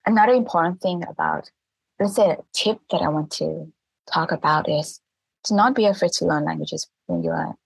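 Husky woman. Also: deep voice, sing-song, normal speed, no room sound, low-quality recording. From Thailand. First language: Thai. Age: 30s. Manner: earnest, laughs often, restarts phrases. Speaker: guest, language teacher. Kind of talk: casual interview talk.

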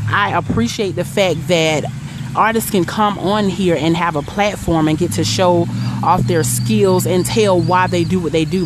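A woman giving an upbeat, warm-hearted spoken shout-out in a casual message. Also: on the low side, noisy background.

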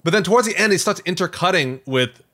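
Animated, bright-voiced man telling a story. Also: sits high, quick pace. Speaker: podcast host. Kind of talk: casual chat, recounting a story.

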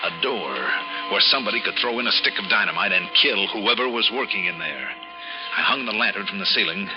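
A man's voice: lowish voice, lively intonation, fairly quick, natural voice. A man playing a shrewd, self-assured investigator in a 1960s radio drama, with a clipped, wry manner. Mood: tense, deliberate. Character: shrewd, self-assured adult male investigator narrating his case. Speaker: lead actor and narrator. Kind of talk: 1960s radio detective drama acting with first-person narration. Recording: dull vintage radio recording with music.

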